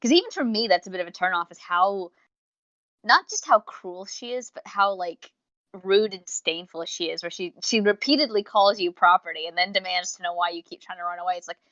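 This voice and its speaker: A woman's voice: fairly low pitch, fairly quick, fairly bright tone, dry recording, lo-fi sound. A woman co-hosting a casual review podcast, explaining her opinions emphatically. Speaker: podcast co-host reviewing audio series.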